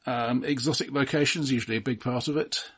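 A man's voice: medium pitch, steady tone, fairly quick, fairly bright tone, breathy edge. A man co-hosting a casual film discussion, speaking in an analytical, somewhat hesitant way with care over details. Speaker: podcast co-host.

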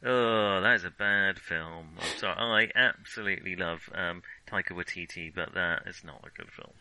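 Very bright, sharp-voiced man, closely recorded. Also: low-pitched, normal speed, gravelly, no echo, rough recording. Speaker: podcast co-host. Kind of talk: casual chat, giving opinions on films.

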